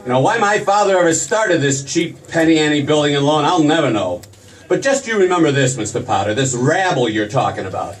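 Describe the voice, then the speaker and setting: A man acting an eager young small-town dreamer in an old-time radio play. His voice is highish and swoops freely, stammering and folksy. It is picked up from far off with some hiss. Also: expressive, a little fast, close to an everyday voice. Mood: indignant. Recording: noisy stage recording from far off.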